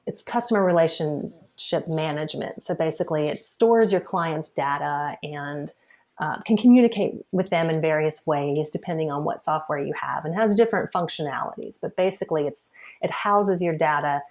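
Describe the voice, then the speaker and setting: A woman's voice: deep voice, normal speed, a little mellow. A woman guest on a podcast, talking casually about her marketing work, chatty, laughing often and restarting her phrases. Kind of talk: casual interview conversation. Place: podcast recording.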